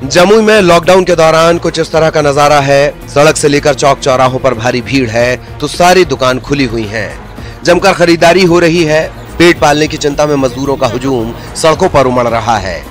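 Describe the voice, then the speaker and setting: A man's voice: a little high, normal speed. A man reading scripted news reports as a broadcast voice-over. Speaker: news narrator. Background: background music.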